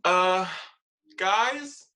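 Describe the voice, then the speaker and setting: A man's voice: sits high, very slow, bright, forceful tone, full character voice. A man playing a vain, easily rattled housemate in a comedy table read.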